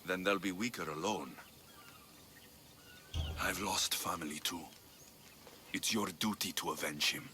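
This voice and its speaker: Game hero mercenary: confident, plain-spoken, crisp edge on a low voice, faint background noise. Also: male voice, unhurried, long pauses, bright, forceful tone. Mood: resolute. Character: confident, reassuring adult male mercenary hero. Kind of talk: video game voice acting. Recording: long hall reverb, faint noise.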